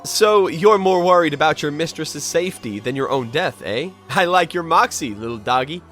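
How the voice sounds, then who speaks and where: A man playing a wry, kindly ghost detective with an expressive delivery in a game fandub. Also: fairly high pitch, normal speed, faint music behind. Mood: determined. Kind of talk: animated game fandub acting.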